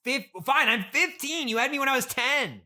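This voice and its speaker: A man's voice: extremely high pitch, animated, normal speed, pushed and bright, heavily acted. A man playing a bratty, mocking teenage son in an improvised comedy podcast interview, taunting and dismissive. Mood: cocky, dismissive. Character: bratty, mocking fourteen-or-fifteen-year-old teenage son.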